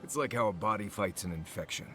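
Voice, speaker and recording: A deep, grave man speaks as a reflective companion in a fantasy game. Music sits clearly behind the voice. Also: quick pace, pushed and bright. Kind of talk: video game cutscene dialogue.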